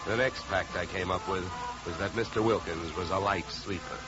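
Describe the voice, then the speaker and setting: An American actor playing a tough, wry private investigator in a 1950s radio drama, with a dry, controlled, sardonic delivery. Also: male voice, sits low, moderate pace, over loud music, natural voice. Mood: wry narration. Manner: hard-boiled, dry, controlled. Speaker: lead actor and first-person narrator. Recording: muffled, noisy 1950 radio recording.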